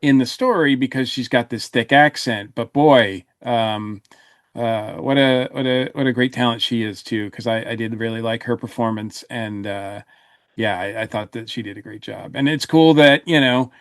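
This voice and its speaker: Halting man in casual review chat, dry sound. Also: mid-range voice, dry recording. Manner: halting, frequent hesitations and repeated words. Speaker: podcast panelist.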